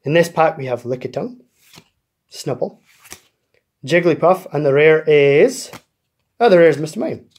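Guy opening trading card packs at his table, slightly high voice, chatty, going over sale details. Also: lively intonation, measured pace, long pauses, a little mellow. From United Kingdom. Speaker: card-opening channel host. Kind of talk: casual pack-opening chat. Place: indoors at a table, off camera.

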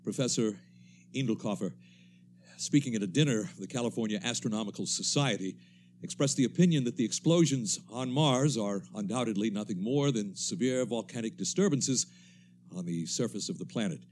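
An actor playing a composed, formal studio announcer in a 1930s-style radio drama, measured with slight hesitations. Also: male voice, lowish voice, darkish tone, natural voice. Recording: faint hum and noise.

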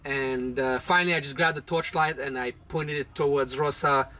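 A man's voice: mid-range voice, steady tone, normal speed, sharp tone. A man in his thirties telling a sailing trip story in a chatty, casual way from a small boat cabin. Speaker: vlog host, sailor. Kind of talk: casual travel narration.

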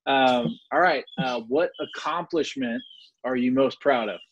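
A man's voice: medium pitch, unhurried, lo-fi sound, slight background noise. A man in his twenties hosting a casual interview over a video call, informal and encouraging. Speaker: interviewer, host. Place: indoor room at home.

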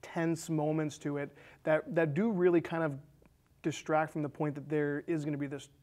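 A man in his thirties casually discussing a film, halting and repeating words as he thinks aloud. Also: fairly high pitch, flat delivery, a little mellow. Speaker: film discussion show co-host. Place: small indoor studio set with curtain.

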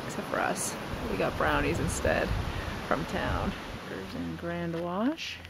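Gravelly man narrating a hike. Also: sits high. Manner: relaxed, offhand commentary on scenery. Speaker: travel vlogger. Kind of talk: casual narration while hiking. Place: outdoors on desert trails and in an orchard. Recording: noisy outdoor camera audio.

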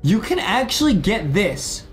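A man in his twenties with a high, very animated voice commentates gameplay. He speaks excitably to viewers and often repeats his phrases. Music plays clearly behind him. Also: a little slow. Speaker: gaming video host.

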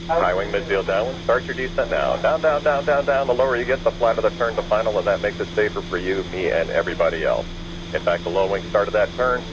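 A man's voice: on the low side, fast. A man working as a tower controller, urging pilots with insistent, repeated short commands over aviation radio. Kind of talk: urgent radio landing instructions. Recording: muffled aviation radio, engine hum. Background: small airplane engine hum.

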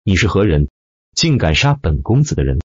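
Haughty young lord villain played by a male narrator: deep, husky, coldly menacing, audiobook, slightly muffled. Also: big pitch swings, normal speed, dry recording, exaggerated voice. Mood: angry, threatening. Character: arrogant, domineering young noble villain.

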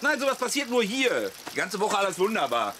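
A man's voice: high voice, very animated, a little fast, sharp tone, slight background noise, full character voice. A man playing a grumpy, stubborn family father in a German comedy radio play, grumbling with blunt sarcasm. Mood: irritated. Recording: clear outdoor ambience with animals behind.

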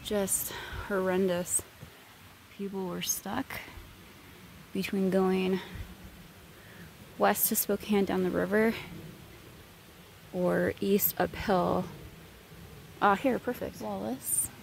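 A woman's voice: medium pitch, fairly even intonation, slow pace, vocal fry, pauses often, a little hiss or hum, poor audio. A woman vlogging on a forest hike, musing as she points out spots on a map. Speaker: hiker vlogger. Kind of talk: casual on-trail commentary. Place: outdoors on a forest trail.

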